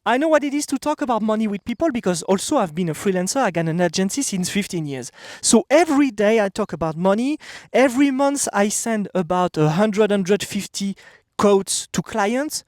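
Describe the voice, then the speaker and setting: A man in his thirties with a French accent gives a casual motivational talk straight to camera. His voice sits high for a man and is recorded close and dry. He talks bluntly and emphatically. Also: expressive, moderate pace, few pauses, darkish tone, no echo. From France. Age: 30s. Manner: blunt, emphatic, repeats "okay?". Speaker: vlogger. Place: outdoors.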